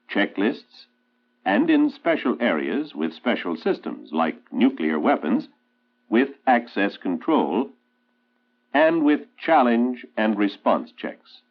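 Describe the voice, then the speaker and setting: An American man narrating a 1960s instructional film in an authoritative, emphatic, measured oratorical way. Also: fairly low pitch, husky. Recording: tinny band-limited 1960s film soundtrack, loud music. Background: loud musical score.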